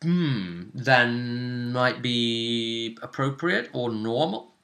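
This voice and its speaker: A man running a tabletop roleplaying game as game master, recapping the story casually in a halting, emphatic way, repeating phrases as he goes. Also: medium pitch, expressive, gravelly, a bit bright.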